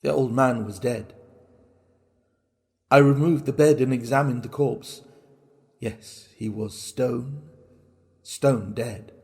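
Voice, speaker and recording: A man playing a mad, guilt-racked murderer, telling his horror story in a theatrical, intense and deliberate manner. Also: medium pitch, vocal fry, darkish tone. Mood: cold, smug. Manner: theatrical, deliberate, intense, broken into short phrases. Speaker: reader of a classic horror story. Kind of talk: dramatic first-person storytelling.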